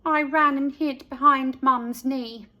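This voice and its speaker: A woman narrating a picture book for young children in an expressive, deliberate storytelling manner. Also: high-pitched.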